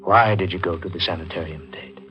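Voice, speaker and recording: Muffled 1940s radio recording, male actor as probing doctor, lower pitch, deliberate, steady, clinical. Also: faint music behind, lightly acted. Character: measured, probing hospital doctor questioning a patient. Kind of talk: 1940s radio mystery acting.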